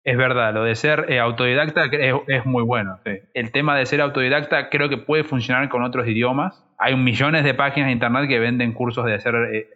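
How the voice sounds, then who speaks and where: A man's voice: mid-range voice, fairly even intonation, brisk, few pauses. A man, speaking emphatically and conversationally as a podcast co-host. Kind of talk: casual panel discussion. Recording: slightly dull lo-fi sound.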